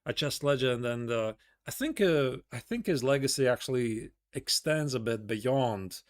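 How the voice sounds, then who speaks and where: A man's voice: mid-range voice, lively intonation, a little slow. A man with a Latvian accent giving casual live chess commentary, thinking aloud in short phrases and questions.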